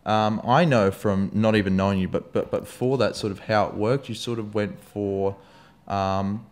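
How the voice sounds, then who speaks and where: A young Australian man talks as a podcast host in a relaxed, joking way and swears freely. His voice sits a bit low, and he mixes offhand asides into his questions. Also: moderate pace. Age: young adult. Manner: swears often, loose and jokey. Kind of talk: casual interview chat.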